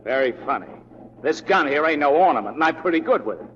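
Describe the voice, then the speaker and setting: An actor playing an irritable, belligerent stagecoach passenger in a 1940s-style western radio drama. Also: male voice, a little high, animated, fast, close to an everyday voice. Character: hot-tempered, threatening male stagecoach passenger in Old West. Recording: muffled, noisy old radio broadcast.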